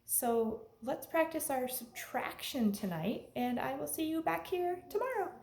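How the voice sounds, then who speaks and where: A woman reads to young children in the manner of a teacher, with a high voice that swings widely up and down. She takes her time and speaks to her listeners directly in simple phrases. The room is noticeably echoey. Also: lively intonation, a little slow, vocal fry, darkish tone. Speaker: teacher reading a picture book to young children. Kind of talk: children's read-aloud, with sung refrains and asides to listeners. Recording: echoey room with faint background noise.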